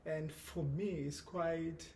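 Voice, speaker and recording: A man in his thirties from Angola with a Portuguese accent, a guest speaking in an earnest, measured way, with frequent restarts. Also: on the high side, steady tone, gravelly, dark tone, slight background noise. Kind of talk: casual conversation.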